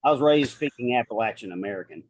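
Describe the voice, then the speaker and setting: Husky-voiced man chatting hesitantly. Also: medium pitch, lively intonation, fast talker, low-quality recording. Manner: hesitant, joking. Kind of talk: casual panel chat.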